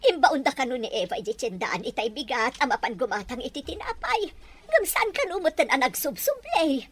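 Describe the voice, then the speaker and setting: A woman plays a fretful village mother in a melodramatic audio drama. The voice is very high and swings widely as it pleads and laments. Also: big pitch swings, moderate pace, breathy edge, full character voice. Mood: worried.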